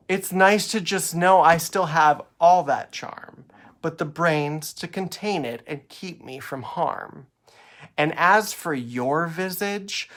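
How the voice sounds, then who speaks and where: Slow, deliberate man reciting poetry. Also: fairly high pitch, a bit bright. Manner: dramatic, deliberate rhythmic rhyming delivery with pauses. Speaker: poet reading own work. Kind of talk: spoken-word poetry reading.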